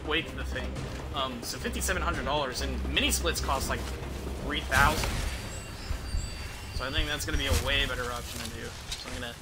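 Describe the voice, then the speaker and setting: A man's voice: mid-range voice, brisk, pauses often. A young man chatting casually and offhandedly as he streams a video game, rambling along between bits of play. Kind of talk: casual chat while playing a video game. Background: game music.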